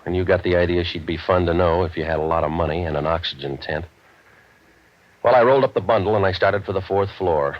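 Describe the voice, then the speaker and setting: A low-voiced, hardboiled private eye from an old radio drama. He delivers wisecracks and noir narration with a cynical deadpan. The recording is dull and dated. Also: quick pace. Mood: wry, deadpan. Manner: deadpan, cynical, tough-talking. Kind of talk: 1940s radio detective acting and noir narration.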